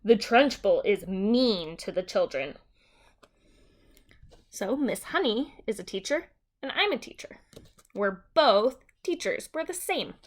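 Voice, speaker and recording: A woman teacher reading a simplified children's story aloud and explaining it in a deliberate, simple way for young children. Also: medium pitch, expressive.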